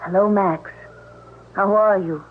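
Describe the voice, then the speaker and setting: An actress playing a weary, polite widow in a radio mystery drama, speaking softly and wearily. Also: female voice, mid-range voice, steady tone, slow, slight background noise, lightly acted. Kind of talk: 1970s radio drama acting. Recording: tinny radio sound from off-mic.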